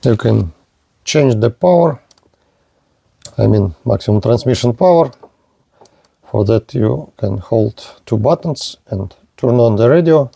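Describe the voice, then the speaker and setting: A man presenting a product demonstration in short, halting phrases, with a low-key, matter-of-fact manner. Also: lively intonation, vocal fry, warm tone, breathy edge, dry recording. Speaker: product reviewer.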